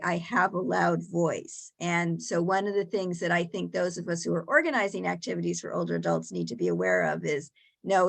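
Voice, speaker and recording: A woman with a lowish, gravelly voice gives earnest advice on aging. She speaks thoughtfully and pauses often with ums. She is a guest on a panel webinar. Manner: thoughtful, earnest, pausing with fillers.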